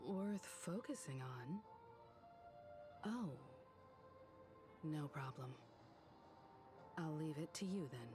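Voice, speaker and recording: A mature crew leader in a video game. She sounds poised and rational, in a low voice. Music is clearly audible behind her. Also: lively intonation, slow pace, long pauses. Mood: composed.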